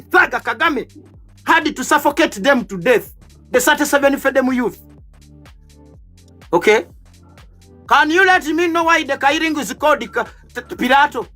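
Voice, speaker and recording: A man's voice: very high-pitched, sharp tone. A man in his thirties hosting a heated political debate panel, accusatory and emphatic, hammering rhetorical questions. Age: 30s.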